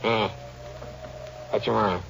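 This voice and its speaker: A man's voice: on the low side, steady tone, takes it slowly, gravelly, a bit bright, soft background music, full character voice. An actor playing a cranky, dismissive old man, grumbling and curt, in 1940s radio style. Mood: grumpy. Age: older. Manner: grumbling, curt, repetitive "yeah". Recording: dull, noisy old radio recording.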